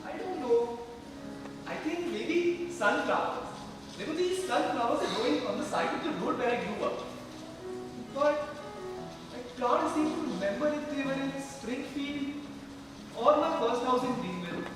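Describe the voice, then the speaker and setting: A man playing a wistful, confused sixteen-year-old boy in a stage play, speaking in a halting, musing way. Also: very high voice, expressive, far from the mic. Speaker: amateur stage actor. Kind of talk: live stage play monologue. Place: stage in a large hall. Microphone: distant microphone. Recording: very echoey hall from afar, music.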